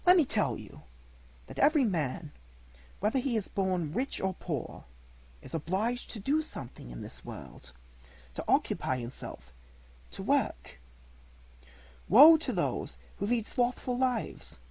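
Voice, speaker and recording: Reader playing a motherly fairy, soft and calm, gently stern, husky, lively pitch, storybook. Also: unhurried, pauses often, no echo, full character voice, poor audio. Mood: admonishing. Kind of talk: audiobook character reading.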